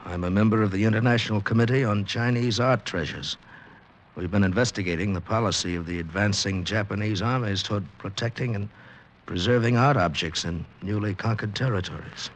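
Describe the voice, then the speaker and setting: Radio drama lead: male actor as confused man who lost his memory, deep register, dramatic forties delivery, faintly noisy vintage recording. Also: fairly quick, lightly acted. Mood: anxious, agitated. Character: bewildered amnesiac American man recovering in a hospital. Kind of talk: 1940s radio acting, first-person narration and dialogue.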